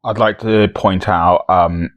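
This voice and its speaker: A man's voice: low-pitched, steady tone, slow. A man hosting a podcast game show, chatting in casual comic banter.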